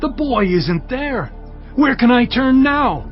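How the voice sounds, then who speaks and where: A man acts an anxious, protective biblical brother, high in pitch. His urgent pleading swings up and down, in a dull recording with soft music. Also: sing-song, slow pace, heavily acted. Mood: despairing. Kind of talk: dramatized Bible acting.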